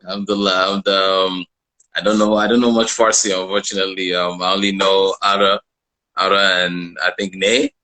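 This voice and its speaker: A man's voice: sits low, little pitch movement, fairly quick, bright, forceful tone, rough recording. A man hosting a casual live interview show, speaking hesitantly and often repeating his phrases.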